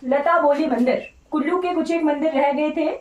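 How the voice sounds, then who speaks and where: A woman's voice: high-pitched, moderate pace, lo-fi sound. An Indian woman teacher giving an informal online class lecture, mixing in English terms, repeating points and checking in often, her voice slightly off. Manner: repetitive, instructive, often checking with "right?". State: voice slightly off today. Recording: roomy echo, distant microphone.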